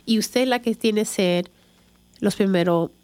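A woman's voice: medium pitch, measured pace, darkish tone, dry recording. A middle-aged woman from Chicago hosting a radio show, talking casually with many fillers and restarts. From Chicago, USA. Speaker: radio show host, real estate agent.

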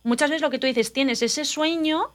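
A woman from Spain, telling personal stories in an animated, confiding casual chat. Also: sits high, moderate pace.

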